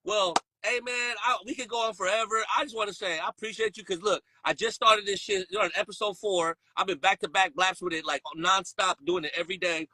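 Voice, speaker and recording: A man's voice: sits high, steady tone, brisk, hardly any pauses, sharp tone, poor audio. A man hosting a casual podcast chat, talking in loose slang, rambling and laughing often. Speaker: podcast host.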